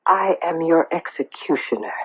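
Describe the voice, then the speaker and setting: A man playing a cold, menacing avenger with a husky, breathy voice in a radio drama. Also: a little high, moderate pace. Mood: cold menace. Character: vengeful accuser and executioner, cold and menacing. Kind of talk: 1970s radio drama acting. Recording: tinny phone-like old radio broadcast.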